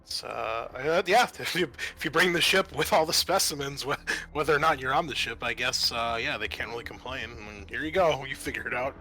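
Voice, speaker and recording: A man acting as game master, explaining a tabletop game in a casual, halting way. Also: medium pitch, pushed and bright.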